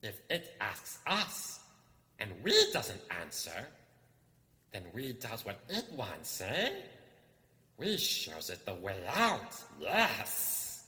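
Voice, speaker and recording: A man's voice: on the low side, huge pitch swings, sharp tone, faint music behind, exaggerated voice. A man voicing a wretched, sneaky cave creature in a storybook reading, hissing and spluttering as he draws out his words. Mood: sly, menacing. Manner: slow, drawn-out muttering with sudden shrieks. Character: wretched, sneaky, hungry cave-dwelling creature, lonely and nasty. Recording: cavernous hall reverb.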